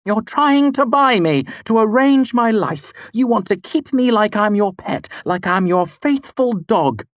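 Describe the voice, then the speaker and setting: A man's voice: sits high, animated, unhurried, few pauses, dry recording, studio quality, pitched above the performer's own voice. A man voicing a scornful young woman bard. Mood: furious. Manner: scornful, heated, raised voice. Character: sharp-tongued proud young woman bard.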